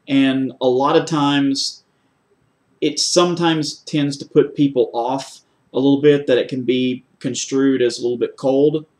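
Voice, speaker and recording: A man answering formal job interview questions in a measured, methodical way, explaining things step by step as a candidate for a security post. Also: medium pitch, fairly even intonation, darkish tone.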